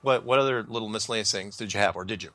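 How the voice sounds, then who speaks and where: A man hosting a casual interview, agreeable and thinking aloud in a halting way. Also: fairly low pitch, pushed and bright, no echo. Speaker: show host.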